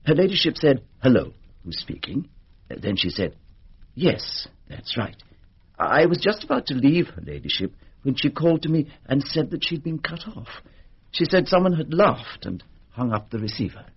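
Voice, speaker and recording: An actor playing a formal English butler with a husky voice, respectful, careful and hesitant, in a radio drama. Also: male voice, mid-range voice, big pitch swings, normal speed, exaggerated voice. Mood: deferential, careful. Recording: dull lo-fi radio recording.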